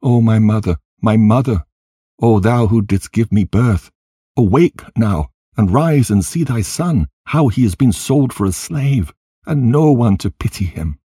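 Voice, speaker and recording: Man voicing a grieving young son, raised pitch, mournful, imploring, crying out, dramatic scripture storytelling. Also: expressive, unhurried, dark tone, breathy edge, no room sound, clean studio sound. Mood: anguished pleading. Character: anguished young son pleading at his mother's grave.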